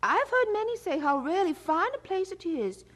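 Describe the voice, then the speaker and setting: An actress playing a kindly, solicitous married woman in an audio drama, with a husky, breathy voice and gentle, motherly manner. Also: very high-pitched, big pitch swings, normal speed, heavily acted. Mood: friendly.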